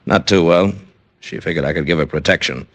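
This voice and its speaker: A deep-voiced blunt businessman. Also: brisk, fairly bright tone, highs cut off. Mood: defensive. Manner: blunt, defensive. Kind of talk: 1950s radio drama acting.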